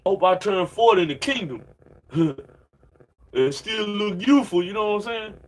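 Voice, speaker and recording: A man's voice: high voice, moderate pace, rough recording. Slangy, rambling man in his late thirties. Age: 30s. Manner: slangy, rambling, fills with "man" and "you know what I'm saying". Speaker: religious preacher. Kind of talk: informal preaching monologue with scripture reading.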